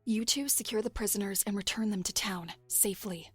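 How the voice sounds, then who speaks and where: A woman's voice: medium pitch, brisk, slightly rough, no echo, faint music behind, natural voice. A woman playing a cool, self-reliant town defender in an audio drama, speaking formally. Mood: composed, firm. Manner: formal, composed.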